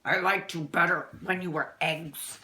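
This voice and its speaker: A woman's voice: fairly low pitch, steady tone, unhurried, bright, forceful tone, a little hiss or hum. A woman reading the part of a stern, grumbling, fussy male bear in a children's storybook. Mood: stern, grumpy. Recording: roomy indoor echo.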